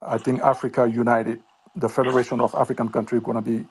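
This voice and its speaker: A man asking a question at a town hall, hesitant and full of pauses and restarts. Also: medium pitch, flat delivery, slight background noise. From Senegal. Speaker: audience member asking a question.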